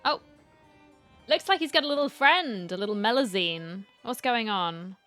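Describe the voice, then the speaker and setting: A woman's voice: a little high, animated, normal speed, a bit bright, soft background music. A woman giving casual, playful reaction commentary as she plays through a game's story, chatty and cheeky. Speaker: gamer reacting to a story quest.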